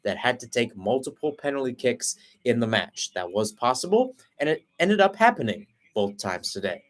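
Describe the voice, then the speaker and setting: A young man calling live soccer play-by-play in a brisk running commentary, often correcting himself mid-phrase. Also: medium pitch, big pitch swings. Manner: brisk running call, frequent self-corrections.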